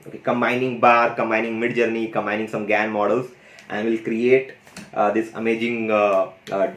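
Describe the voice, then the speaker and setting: A man running a casual, enthusiastic tutorial on an AI audio tool, often saying "you know" and "guys". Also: mid-range voice. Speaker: tech YouTuber demonstrating an AI audio tool. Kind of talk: casual screen-share tutorial. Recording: roomy echo.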